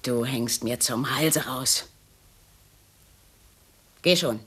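An actress playing a bitter, neglected wife, sarcastic and reproachful, in a German radio crime drama. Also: female voice, rumbling low, very slow, a bit bright, slightly rough, exaggerated voice. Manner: sarcastic, nagging.

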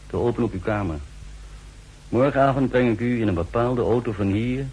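A man's voice: mid-range voice, brisk, a little mellow, husky, natural voice, rough recording. An actor playing a terse, businesslike male secret-service courier, clipped and matter-of-fact, in 1960s radio drama style. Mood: cool, instructing.

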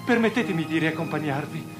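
An actor playing a deferential, uneasy young captain, courteous and earnest, in a stylized radio drama. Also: male voice, on the high side, lively intonation, fairly quick, over music, natural voice. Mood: anxious courtesy.

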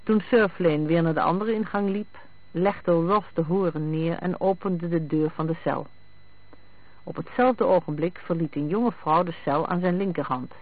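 A thin, phone-like recording carries a man reading fiction aloud. His voice sits high for a man, and the sound is dry with no room around it. He delivers scripted narration. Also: no echo. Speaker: audiobook narrator. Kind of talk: scripted narration of a detective novel with acted dialogue. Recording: thin, phone-like band-limited radio sound.

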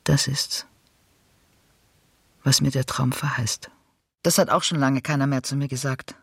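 An actor playing a brooding, fractured figure from Greek tragedy in a radio drama monologue, with halting, repetitive, self-questioning delivery. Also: male voice, medium pitch, fairly even intonation, vocal fry, slight background noise. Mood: grave, menacing, then wry, resigned. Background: music.

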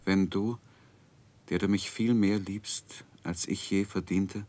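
An actor playing a sensitive gentleman who narrates painful memories in a 1960s radio play, in a measured, dramatic, emotionally weighted way. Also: sits low, fairly even intonation. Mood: grieving.

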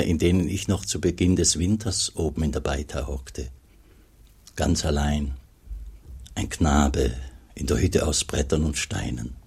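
Very deep, husky old monk. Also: male voice, a little mellow, full character voice. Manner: measured, solemn storytelling. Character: aging pious monk recalling his poor mountain boyhood. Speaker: narrator. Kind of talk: scripted literary radio drama narration.

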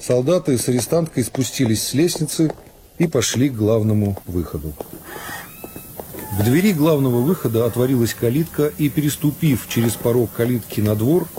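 A man reading a classic novel as a scripted radio narration, in a measured, unhurried storytelling manner. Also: mid-range voice, animated, warm tone, a little hiss or hum. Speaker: narrator of a literary radio serial. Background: music.

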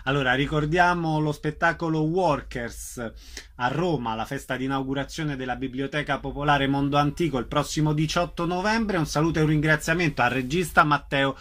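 A man's voice: on the high side, brisk, fairly bright tone. A man hosting a radio interview, asking questions and making announcements.

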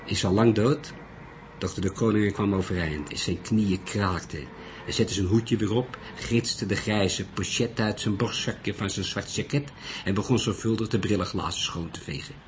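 A man narrating a literary detective story in a measured, deliberate way. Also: on the low side. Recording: noisy recording.

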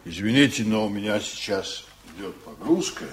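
Man acting a measured, commanding sea captain. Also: on the low side, expressive, slight background noise, close to an everyday voice. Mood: guarded, deliberate. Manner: measured, deliberate, hesitating before answers. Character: steady, evasive Estonian merchant sea captain, commanding and unhurried. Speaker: actor in a radio play. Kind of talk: Soviet radio drama acting.

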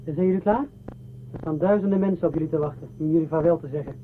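An actor playing a sinister, mock-courteous, condescending captain of an underground city in a radio drama. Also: male voice, fairly high pitch, very fast, natural voice. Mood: falsely courteous. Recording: thin phone-like band, loud noise.

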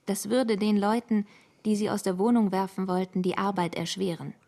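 A woman narrating a literary radio play as a calm storyteller, measured and matter-of-fact. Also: medium pitch, lightly acted.

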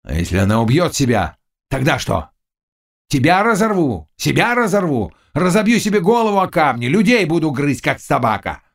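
A man as a gravelly, tormented Cossack chieftain. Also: mid-range voice, expressive, normal speed, pitched above the performer's own voice. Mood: frenzied. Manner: brooding mutters bursting into anguished cries. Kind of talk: audiobook character dialogue.

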